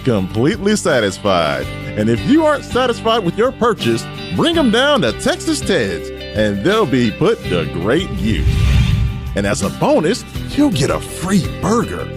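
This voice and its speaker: A man playing a slick, cheery salesman in an exaggerated parody radio commercial pitch. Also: wildly swooping pitch, a little slow. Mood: upbeat, salesy. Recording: voice over loud music.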